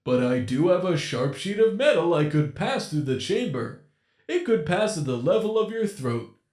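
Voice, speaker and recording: A man voicing a menacing animatronic captor in a storybook reading, talking in a slow, mocking, theatrical drawl. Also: on the high side, a little mellow, echoey room, voice pushed up. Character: menacing male animatronic captor, gleeful and cruel.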